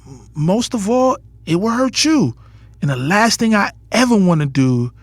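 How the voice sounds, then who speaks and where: A man's voice: high-pitched, very animated, normal speed, no echo, full character voice. A man playing a guarded, lovesick young businessman in an audio drama, earnest and emotionally intense. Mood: pained, passionate. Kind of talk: audio drama acting with first-person narration. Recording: studio voice over music bed. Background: music.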